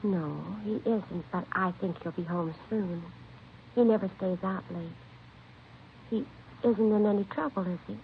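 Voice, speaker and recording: An actress playing an anxious, protective middle-aged aunt in a 1950s radio drama, polite but defensive. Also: female voice, mid-range voice, measured pace, natural voice. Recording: tinny, faintly noisy old radio recording.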